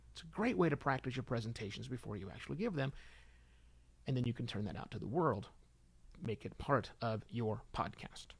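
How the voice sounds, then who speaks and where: A middle-aged man hosting a solo show, giving informal advice in an animated, emphatic way with conversational asides. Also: medium pitch. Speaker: podcast host and consultant.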